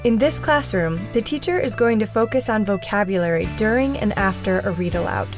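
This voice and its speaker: A woman giving a scripted, measured narration for a teaching video. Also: mid-range voice, lively intonation, music in the background. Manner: measured, informative.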